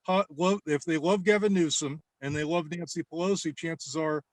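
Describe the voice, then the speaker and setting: A man's voice: fairly high pitch, fairly even intonation, moderate pace, no room sound. A man talking in a casual podcast chat about his work as a campaign caller. He rambles, restarts often and fills gaps with "um" and "you know". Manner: rambling, with many restarts and fillers; acts out the people he talks to. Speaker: guest, political campaign caller.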